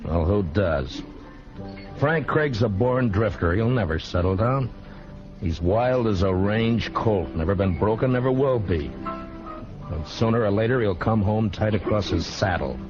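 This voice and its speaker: A man's voice: on the low side, lively intonation, measured pace. An actor playing a middle-aged, world-weary lawman in an old radio Western, speaking in a terse, dry, authoritative way. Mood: dry, wry. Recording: dull vintage radio recording with music.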